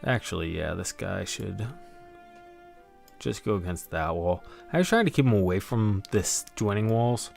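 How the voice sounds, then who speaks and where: A man's voice: on the low side, animated, normal speed, creaky, a little mellow, faint music behind. A man streaming his game prep in a casual way, rambling to himself as he works. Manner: rambling self-talk while working. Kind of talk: casual thinking-aloud stream commentary.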